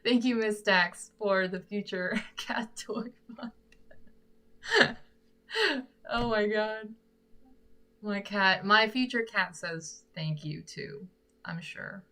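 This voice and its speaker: A woman's voice: medium pitch, takes it slowly, long pauses, sharp tone. A young woman from Korea, chatting casually with viewers, giggly and thinking aloud. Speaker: singer-songwriter chatting with viewers. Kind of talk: casual chat answering live comments.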